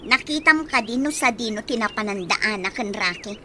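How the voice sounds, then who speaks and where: An actress playing a grumbling, worried guardian who is hard on children, in a radio drama. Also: female voice, fairly high pitch, animated, normal speed, noisy background, natural voice. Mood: irritated, worried. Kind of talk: Ilocano radio drama acting.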